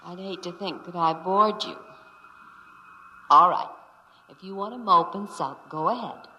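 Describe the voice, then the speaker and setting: A young female spaceship with a romantic, haughty temper in a 1950s radio drama. She speaks slowly and deliberately in a bright voice, wrapped in long reverb. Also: lowish voice, lo-fi sound, a little hiss or hum. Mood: coaxing. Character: young female spaceship computer, romantic, sweetly scornful jilted lover. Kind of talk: 1950s science fiction radio acting. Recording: vintage radio recording, long hall reverb.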